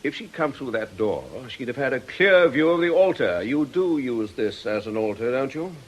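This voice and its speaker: An actor as a grave male priest who hunts out evil. His mid-pitched voice moves widely up and down, insistent and accusing, in the manner of a radio play. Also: animated, moderate pace, lightly acted. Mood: intense, accusing. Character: stern, forceful priest hunting out evil. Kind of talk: radio drama acting.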